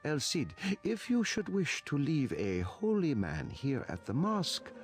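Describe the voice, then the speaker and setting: A man acting a devout, gentle Moorish holy man in a video game, speaking formally and solemnly. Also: fairly high pitch, huge pitch swings, a little slow, faint music behind. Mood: grave, grateful.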